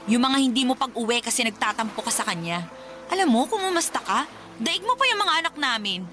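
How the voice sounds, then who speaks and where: Radio soap rival: brazen, taunting mother, high and very animated, needling, self-righteous. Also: fairly quick. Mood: mocking. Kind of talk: melodramatic radio drama acting. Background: background music.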